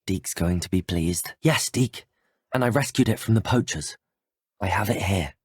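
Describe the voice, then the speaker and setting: An actor playing a polite, resolute teenage student wizard in a video game, well-spoken with an English accent. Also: male voice, sits low, moderate pace, darkish tone, slightly rough, dry recording, studio quality. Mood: composed, polite.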